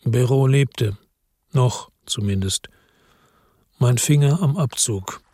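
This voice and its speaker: An actor playing a weary hard-boiled man who narrates his own crime story in a radio drama, terse, brooding and husky. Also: mid-range voice, slow pace, warm tone, no echo, clean studio sound. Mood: grim, tense.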